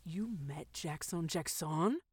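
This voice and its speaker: A woman's voice: deep voice, takes it slowly, slightly rough, dry recording, exaggerated voice. A woman playing a blunt, puzzled best friend in an improvised comedy drama. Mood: incredulous.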